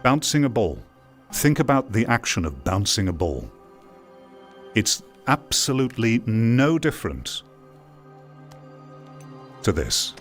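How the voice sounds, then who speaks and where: A man giving opinion commentary on VR gaming in an emphatic, deliberate way, repeating phrases for weight. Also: mid-range voice, sing-song. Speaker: VR gaming video creator. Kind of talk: opinion commentary over gameplay. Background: music.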